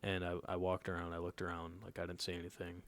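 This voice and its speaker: A man's voice: deep voice, completely flat delivery, fast, fairly bright tone. A nineteen-year-old man telling a personal story in casual podcast chat, laid-back and often checking in with his listeners. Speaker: podcast co-host.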